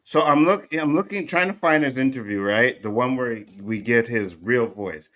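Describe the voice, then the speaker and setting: A man's voice: medium pitch, animated, moderate pace, fairly bright tone. A man hosting a casual panel chat, hesitant and searching as he talks, with many fillers.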